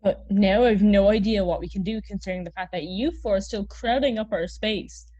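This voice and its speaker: A woman playing an uptight, indignant podcast co-host in a comedy audio drama, husky and exasperated. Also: medium pitch, a little fast, dark tone, dull audio, close to an everyday voice.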